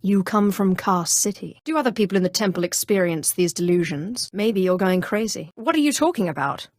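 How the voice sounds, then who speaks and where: An actress playing a cold, haughty, cunning female Sith sorceress in a video game, speaking in a composed, imperious and deliberate way. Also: mid-range voice, expressive, a little mellow, no room sound, clean studio sound, close to an everyday voice. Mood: probing, stern. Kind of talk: scripted role-playing game dialogue.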